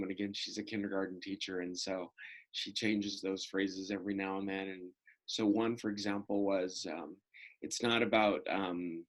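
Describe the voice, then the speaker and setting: A middle-aged man speaks in a fairly low voice as an interview guest. He explains things in a measured way, often pausing mid-thought before carrying on. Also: fairly bright tone, poor audio. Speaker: interview guest, school prevention programs coordinator. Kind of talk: conversational interview answers.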